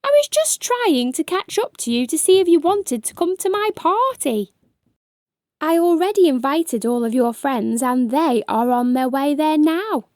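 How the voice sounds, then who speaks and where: Woman playing a big, shy storybook monster, high-voiced. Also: sing-song, unhurried, darkish tone, dry recording, studio quality. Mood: friendly. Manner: friendly, plaintive and bashful. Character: big, gentle, shy male troll-ogre monster. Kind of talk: children's storybook character reading.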